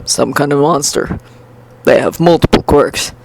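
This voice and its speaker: A narrator voicing a gruff, commanding adult male hero teacher who gives terse instructions. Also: medium pitch, a little slow, a little mellow, poor audio. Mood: grim.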